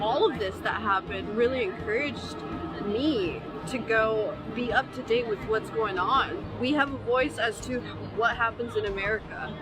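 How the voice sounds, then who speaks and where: A woman with a slightly high voice speaks earnestly in an interview. Music plays behind. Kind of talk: interview comment.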